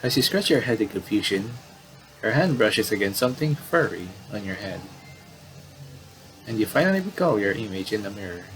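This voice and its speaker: A man's voice: lowish voice, sing-song, moderate pace, a bit bright, soft background music, rough recording. A man giving casual let's play commentary and reading game text aloud, stumbling and restarting as he reads. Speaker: gaming commentator.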